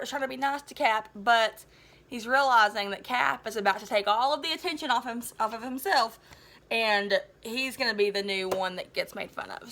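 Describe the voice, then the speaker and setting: High, bright, enthusiastic woman teacher reading aloud. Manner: chatty, enthusiastic, animated. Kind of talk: expressive children's story read-aloud with casual commentary.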